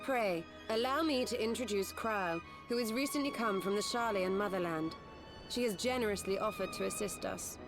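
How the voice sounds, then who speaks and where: A woman acts a composed noblewoman from a fantasy game, gracious and formal. Her medium-pitched voice is courteous and stately, with music clearly playing behind it. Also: moderate pace, close to an everyday voice. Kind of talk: scripted video game voice acting.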